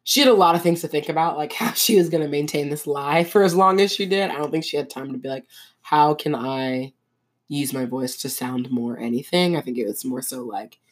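A woman's voice: low voice, brisk. A woman co-hosting a podcast in casual, joking chat, full of fillers. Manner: animated, joking, frequent "like". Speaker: podcast co-host.

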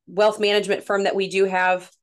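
A woman in her thirties with a Midwestern US accent, a podcast guest who talks in a chatty way, laughs often and gets lively when quoting others. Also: medium pitch, a little fast. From Missouri, USA. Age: 30s. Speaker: guest, women's coach. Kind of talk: casual podcast interview.

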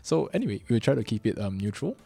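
A man in his twenties with a Singaporean accent, hosting a podcast in casual chat, quick and chatty with many fillers. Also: mid-range voice, very animated, creaky, mellow, breathy edge. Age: 20s. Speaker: podcast host.

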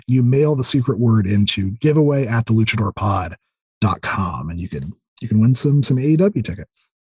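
A man's voice: fairly low pitch, lively intonation, moderate pace, darkish tone, slightly rough, no room sound. A man chatting casually as a podcast guest, enthusiastic and rambling, often repeating words and starting over. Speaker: podcast guest, audio drama creator. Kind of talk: casual interview chat.

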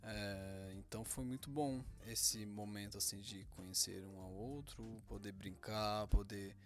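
A young man, co-hosting a casual couple podcast with boastful, deadpan jokes. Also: lowish voice, a little slow. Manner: joking, boastful, deadpan teasing. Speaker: podcast co-host.

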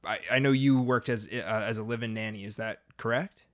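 A man's voice: lowish voice, fairly even intonation, brisk, a bit bright. A man interviewing a guest, asking curious, hedging questions and often repeating words as he forms them.

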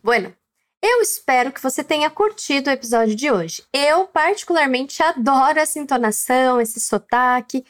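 A woman with a Brazilian Portuguese accent, teaching a language lesson slowly and clearly, prompting the listener to repeat. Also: high voice, expressive. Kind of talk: scripted lesson narration.

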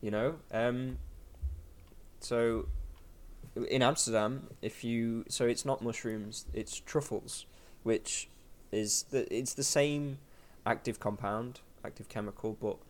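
A man's voice: medium pitch, steady tone, slow pace. A man hosting a casual podcast chat, rambling with lots of fillers and restarts. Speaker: podcast host.

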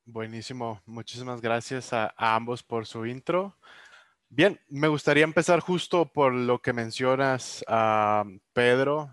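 Smooth-voiced male podcast host. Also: mid-range voice, dry recording, studio quality. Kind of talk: casual interview hosting.

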